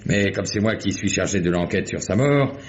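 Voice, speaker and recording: An actor playing a shrewd, unhurried old country sheriff in a French radio crime drama, fatherly and easygoing in manner. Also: sits low, lively intonation. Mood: genial, sly. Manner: easygoing, fatherly, drawn-out interjections. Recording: muffled, noisy old radio recording.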